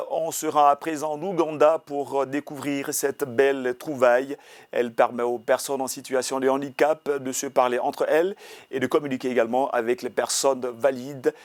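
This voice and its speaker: A man presenting the news from a studio in a brisk, scripted delivery. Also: mid-range voice, dry recording. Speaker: news anchor.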